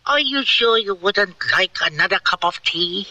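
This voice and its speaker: Bright-voiced courteous young Native woman. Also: mid-range voice, lively intonation, normal speed, dry recording, far from the mic, poor audio. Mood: polite, gentle. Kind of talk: radio western acting.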